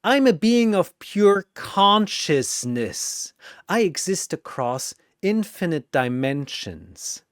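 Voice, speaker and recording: A gleeful, menacing cartoon dream demon, acted by a man, pitched high with wild swoops. He taunts and mocks theatrically, in a close, dry sound. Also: sing-song, slow pace, clean tone, no room sound, full character voice. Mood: smug menace.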